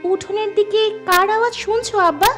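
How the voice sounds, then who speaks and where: An affectionate cartoon daughter played by a woman chirps in an extremely high voice. She is sweet, eager and excitable, with faint music behind her. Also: a little fast. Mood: concerned. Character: affectionate, excitable grown daughter visiting her village father. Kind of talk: cartoon voice acting.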